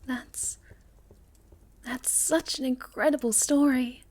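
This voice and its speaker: A woman playing a sweet, shy, gentle moth-girl monster in a soft, halting ASMR roleplay, often slipping toward a whisper. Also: sits high, fairly even intonation. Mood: hesitant, wondering.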